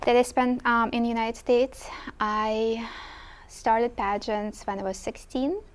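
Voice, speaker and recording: Ukrainian-accented woman with a smooth voice. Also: on the high side, steady tone, measured pace. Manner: hesitant with many ums, slightly non-native grammar. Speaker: guest. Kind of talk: casual interview chat.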